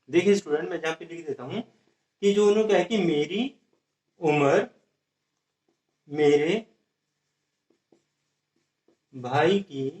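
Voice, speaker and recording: A man's voice: high voice, darkish tone. A man teaching an exam-prep math lesson, repeating each step and checking whether students follow. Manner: repeats steps and keeps asking students if it is clear. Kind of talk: whiteboard lesson explaining age problems. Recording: echoey room.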